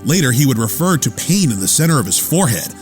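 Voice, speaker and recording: An actor playing a loyal, watchful lab assistant who narrates earnestly in a radio theater drama. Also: male voice, mid-range voice, sing-song, fast talker, close to an everyday voice. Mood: concerned. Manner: earnest, plain storytelling. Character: loyal, watchful male lab assistant recounting his boss's life. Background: music.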